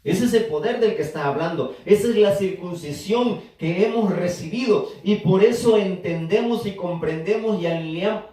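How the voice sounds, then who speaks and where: A man's voice: high-pitched, moderate pace, few pauses, dark tone. A man preaching a sermon to a congregation, teaching and asking them to answer back. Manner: teaching, asks the congregation to respond. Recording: echoey church room from far off.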